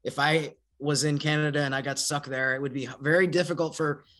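A man giving conversational sports analysis on a podcast in a measured, explanatory way with careful qualifications. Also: on the high side, flat delivery, fairly bright tone. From northern United States.

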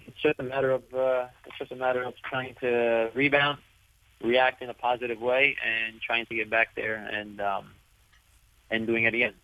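A young man, a soccer player whose first language is Italian, answering interview questions softly while searching for his words. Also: medium pitch, level tone, normal speed, no room sound. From United States. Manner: soft-spoken, searching for words. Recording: tinny dry phone line.

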